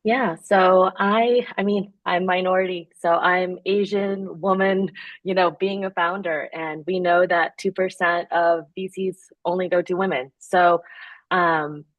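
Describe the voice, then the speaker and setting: A woman, a founder answering interview questions in an earnest, measured way with some fillers. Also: fairly low pitch, fairly even intonation, dry recording, phone-quality sound. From born in Korea, raised in the United States. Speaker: founder, podcast guest.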